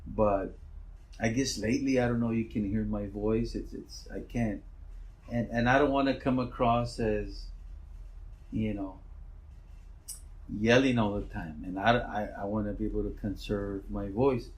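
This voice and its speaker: A middle-aged man with a naturally loud voice, a school principal answering interview questions in a relaxed, rambling way. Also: lowish voice, measured pace, low-quality recording.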